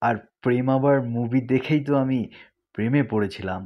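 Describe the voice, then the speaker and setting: A man's voice: mid-range voice, fairly quick, highs cut off, close to an everyday voice. A man voicing a chatty, indignant cartoon buddy, colloquial and emphatic in a comic dub.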